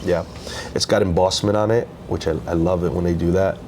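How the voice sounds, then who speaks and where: Low-voiced man, relaxed easygoing chat. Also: fairly quick, slight background noise. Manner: relaxed, easygoing, many fillers. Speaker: podcast co-host. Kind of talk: casual chat.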